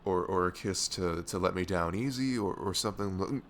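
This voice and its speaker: A man playing a tender, nervous best friend in an intimate ASMR roleplay, speaking softly and hesitantly in a low, gravelly voice close to the mic. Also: a little fast, a little hiss or hum. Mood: stunned, flustered. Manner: hesitant, stammering, soft-spoken.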